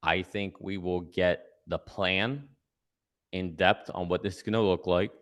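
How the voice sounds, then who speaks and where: A man's voice: low voice, fairly even intonation, a little slow, a bit bright. A man giving casual conversational analysis as a guest commentator, explaining step by step and checking in with "right?". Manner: explanatory, tags points with "right?".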